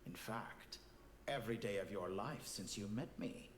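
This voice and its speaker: An actor playing a cold, arrogant scientist villain in a video game, speaking in a measured, condescending, philosophical way. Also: male voice, lowish voice, echoey room, a little hiss or hum, lightly acted. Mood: cool condescension. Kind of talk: scripted video game dialogue.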